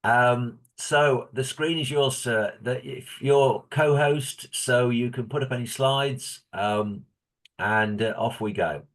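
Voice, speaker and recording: A man hosts an online meeting over a video call. He welcomes the next speaker and hands over the screen, talking slowly with hesitant ums. His voice sits at a middle pitch. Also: fairly bright tone. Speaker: meeting host. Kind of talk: casual chat in an online meeting.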